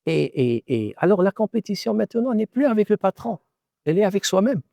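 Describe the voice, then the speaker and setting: Gravelly Moroccan-born man, thoughtful. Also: high-pitched, big pitch swings, fairly quick, warm tone, no room sound. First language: Arabic. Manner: thoughtful, repeats words while searching for phrasing. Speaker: author and professor. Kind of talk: reflective interview answers.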